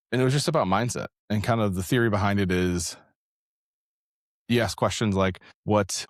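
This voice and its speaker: A man's voice: low-pitched, no room sound, clean studio sound. A man speaking as an interview guest, talking casually about his work as a developer and leader, thinking aloud and rephrasing as he goes. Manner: rambling, conversational, thinks aloud with repeated rephrasing.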